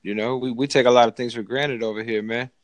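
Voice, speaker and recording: A man's voice: medium pitch, fairly even intonation, quick pace. An American man in his thirties with an American accent, chatting casually and giving friendly advice, often calling the other man "man". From United States. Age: 30s. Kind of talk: casual conversation.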